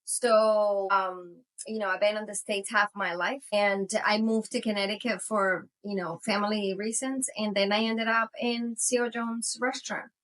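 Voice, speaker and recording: A woman's voice: mid-range voice, fairly even intonation, moderate pace, hardly any pauses, a bit bright, poor audio. A Venezuelan-born woman, a native Spanish speaker in her forties, telling her story casually in an interview, full of "you know" and light laughs. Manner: rambling, many "you know" fillers, laughs often. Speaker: tequila brand co-founder, guest.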